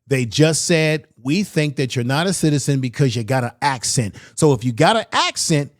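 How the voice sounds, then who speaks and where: A man's voice: a little high, expressive, moderate pace, dry recording, clean studio sound. A man hosting political commentary, reacting bluntly and fierily to clips he plays, with slangy emphatic phrasing.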